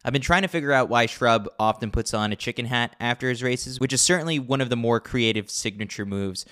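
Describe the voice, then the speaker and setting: A man's voice: medium pitch, brisk, fairly bright tone. A man reading a scripted sports newsletter with wry, tongue-in-cheek commentary. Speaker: podcast reader of a sports newsletter.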